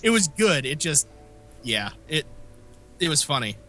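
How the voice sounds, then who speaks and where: A man co-hosting a casual podcast, telling rambling stories with a slightly phlegmy, raspy voice. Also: fairly high pitch, sing-song, unhurried, sharp tone, soft background music. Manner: rambling, self-interrupting storyteller. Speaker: podcast co-host. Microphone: remote call mic.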